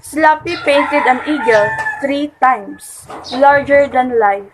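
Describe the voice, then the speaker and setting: High-pitched, slow female narrator. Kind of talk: scripted story narration. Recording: clear background noise.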